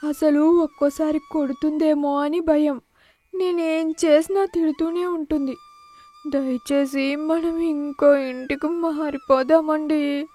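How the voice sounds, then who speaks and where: A woman's voice: sits high, steady tone, slow pace, mellow. A woman voicing an unhappy, fearful young wife in a cartoon moral story, pleading in a plaintive way. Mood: pleading, upset.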